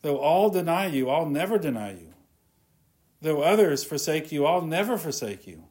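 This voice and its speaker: A man's voice: on the high side, big pitch swings, normal speed, a little mellow. A man preaching an earnest sermon, reading scripture and retelling it in his own words. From not from Scotland.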